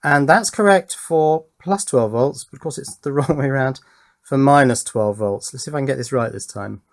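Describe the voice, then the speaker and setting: Middle-aged man, hobbyist presenter, casual running commentary, chatty, thinking aloud, medium-pitched voice. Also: moderate pace. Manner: chatty, thinking aloud, asking himself tag questions.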